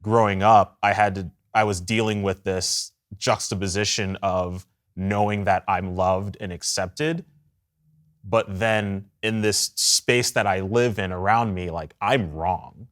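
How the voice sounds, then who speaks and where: A man's voice: fairly low pitch, fairly even intonation, measured pace, fairly bright tone. A man talking in a candid, reflective way as a church discussion panelist. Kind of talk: candid personal sharing.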